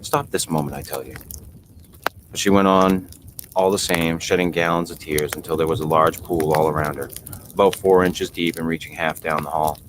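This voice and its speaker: A man's voice: low voice, steady tone, brisk. A man narrating a bedtime storybook as a podcast host. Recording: noisy home podcast recording.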